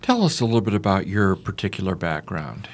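Low-voiced man hosting a radio interview. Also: big pitch swings, fairly quick. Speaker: radio talk show host and interviewer. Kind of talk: conversational radio interview, partly scripted introduction.

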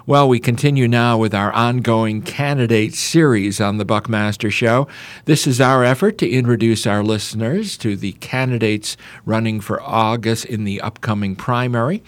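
A man's voice: mid-range voice, moderate pace, no echo. A man hosting a radio talk show, introducing and questioning a guest in a conversational broadcast style. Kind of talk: conversational radio interview, partly scripted introduction.

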